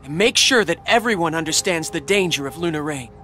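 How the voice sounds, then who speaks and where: An earnest, boyish hero in a fantasy game. His young voice is fairly high. Light music plays behind him. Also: animated, a little fast, pushed and bright. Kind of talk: video game voice acting.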